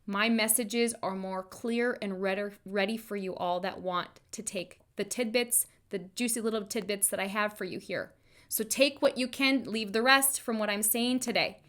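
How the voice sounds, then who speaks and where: A woman's voice: medium pitch, moderate pace, fairly bright tone. A woman hosting a motivational solo podcast, speaking emphatically and informally straight to her listeners, repeating phrases for emphasis. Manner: informal direct address to listeners. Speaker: podcast host, chiropractor and wellness coach.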